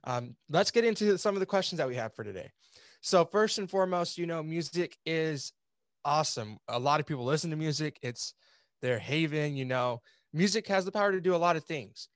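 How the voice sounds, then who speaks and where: A woman's voice: deep voice, lively intonation, a little fast, no echo, studio quality. A woman speaking encouragingly and politely as she hosts a casual group interview over a video call. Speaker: interviewer host. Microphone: video call microphone.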